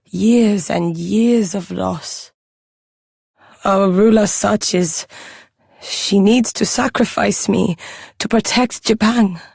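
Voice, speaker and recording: A gentle young maiden in hiding, for a fantasy game. She speaks slowly and solemnly, pleading in short, careful phrases, close and dry. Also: medium pitch, gravelly, slightly rough, no echo, exaggerated voice. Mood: solemn, fearful. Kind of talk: video game voice acting.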